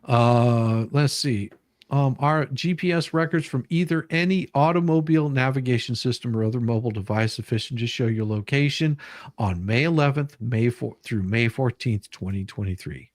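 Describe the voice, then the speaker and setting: A man's voice: mid-range voice, normal speed, a little mellow, no room sound, studio quality. A man hosting a livestream, reading legal documents aloud and explaining them casually, repeating himself for emphasis and occasionally swearing. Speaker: livestream host.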